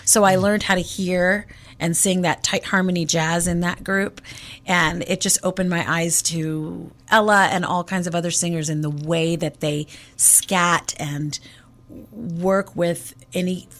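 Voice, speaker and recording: A woman's voice: fairly low pitch, unhurried. A woman who grew up in Egypt, a jazz singer telling stories in a casual radio interview, acting out quotes and restarting her words. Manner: animated storyteller, acts out quotes, repeats words when starting. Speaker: jazz vocalist guest.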